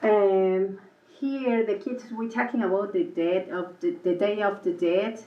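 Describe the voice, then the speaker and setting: A woman's voice: mid-range voice, fairly even intonation, measured pace, lo-fi sound. Woman, Mexican Spanish accent, short broken phrases, distant, echoey room. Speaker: world language teacher. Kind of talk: presenting at a school committee meeting. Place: school meeting room.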